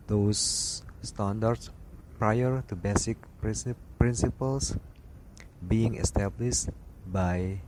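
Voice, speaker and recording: A man reads technical study notes aloud, slowly and haltingly, breaking off and restarting words. His voice sits fairly low. A clear hiss of noise runs under the recording. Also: darkish tone, poor audio. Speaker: aviation instructor. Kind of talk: reading study material aloud.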